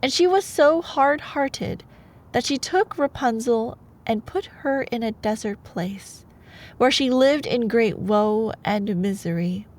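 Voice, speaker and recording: A woman acting as a sweet, playful girlfriend with a fairly high voice and a very unhurried pace. She sounds expressive and opinionated, in an intimate ASMR bedtime roleplay. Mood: measured storytelling. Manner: expressive, exasperated asides between measured reading. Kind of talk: intimate ASMR roleplay, storybook reading with commentary.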